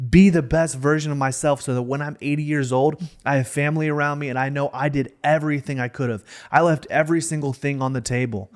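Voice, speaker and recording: A man in his thirties co-hosting a podcast, speaking in a passionate, motivational way. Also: medium pitch. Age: 30s. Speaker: podcast co-host. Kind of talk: casual podcast discussion.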